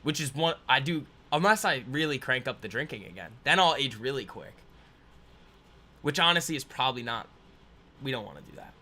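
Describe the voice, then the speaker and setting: A man's voice: mid-range voice, animated, fairly quick, pushed and bright. A man in his twenties hosting a casual trading livestream, rambling and laughing as he reads viewer comments aloud. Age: 20s.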